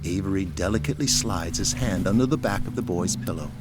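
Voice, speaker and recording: A man's voice: low-pitched, fairly quick, over loud music. A man reading scripted dramatic narration for an audio drama.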